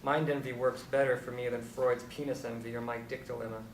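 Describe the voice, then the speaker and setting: A man's voice: mid-range voice, level tone, fairly quick, close to an everyday voice. Echoey actor as blunt aging Beat intellectual man. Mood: pontificating. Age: older. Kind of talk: stage play dialogue. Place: live stage performance recorded from a distance. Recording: echoey, distant mic, faint noise.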